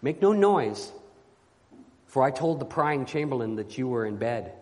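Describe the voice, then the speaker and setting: A man voicing an anguished, bound knight under enchantment in a storybook reading, pleading forcefully in formal, old-fashioned speech. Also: medium pitch, very animated, a little slow. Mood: urgent warning. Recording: long hall reverberation.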